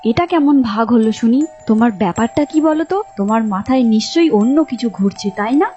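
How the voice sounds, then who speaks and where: A woman's voice: fairly high pitch, lively intonation, fairly quick, mellow, lo-fi sound, faint music behind, lightly acted. A woman voicing a pushy, grasping young wife and daughter-in-law in a folk tale, insistent in manner. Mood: indignant. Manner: insistent, nagging.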